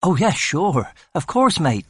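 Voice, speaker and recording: A man reading aloud and putting on an eager young constable who chatters away in a breezy, lifted voice. The delivery is dry and close, with a quick, obliging manner. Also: fairly high pitch, sing-song, fairly bright tone, slightly rough, no echo, voice pushed up, full character voice. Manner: brisk, breezy and talkative.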